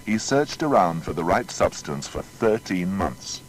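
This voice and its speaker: A man's voice: low voice, sing-song, a little slow, slight background noise, low-quality recording. A man narrating a scripted biography in a dramatic, rhetorical manner.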